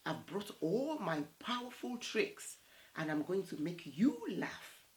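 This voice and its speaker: A woman voicing a rich, boastful male suitor in a storybook folktale, grand and deliberate. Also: fairly low pitch, animated, darkish tone.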